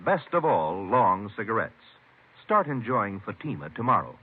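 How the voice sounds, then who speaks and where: A man's voice: lowish voice, big pitch swings, moderate pace, very noisy. A man reading a 1950s radio advertisement as an announcer, with an emphatic sales delivery. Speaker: commercial announcer. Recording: tinny old radio recording.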